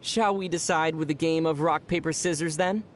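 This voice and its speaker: An actor playing a gentle, teasing young male adventurer in a video game, speaking in a measured, wry way. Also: fairly high pitch, fairly even intonation, a little hiss or hum, lightly acted. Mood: playful. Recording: music behind the voice.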